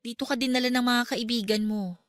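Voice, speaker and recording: A woman's voice: a little high, steady tone, fast talker, close to an everyday voice. A Filipino actress in a radio drama playing a caring, exasperated young girlfriend, nagging yet tender. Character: caring, exasperated young girlfriend looking after her man. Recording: music behind.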